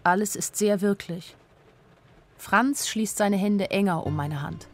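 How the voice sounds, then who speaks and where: A woman's voice: mid-range voice, slow pace. A young woman narrating a literary radio drama from inside her own head. Her voice sits in the middle register, anxious and inwardly urgent, in short plain sentences. Faint music runs behind her. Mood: grave, numb. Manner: intimate inner monologue in short plain sentences. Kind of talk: literary radio play narration in present tense.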